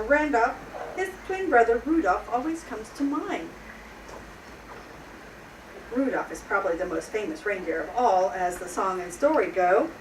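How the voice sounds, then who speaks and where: A woman playing Mrs. Claus, a grandmotherly storyteller reading a children's storybook with measured expression. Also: fairly high pitch. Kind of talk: storybook read-aloud to children. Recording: echoey room, distant mic, faint noise.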